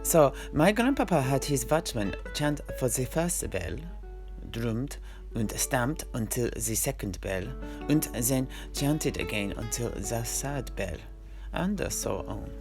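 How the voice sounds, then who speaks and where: A man playing a genial old-world seafarer who recounts his grandfather's voyages in measured storyteller's phrasing. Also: mid-range voice, expressive. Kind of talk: in-character fantasy storytelling. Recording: music playing under the voice.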